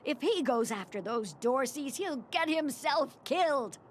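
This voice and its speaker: An actress playing a shopkeeper's older wife in a video game, worried and nagging. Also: female voice, sits high, lively intonation, measured pace, slight background noise. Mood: worried, pleading. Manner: folksy, nagging. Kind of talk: video game character acting.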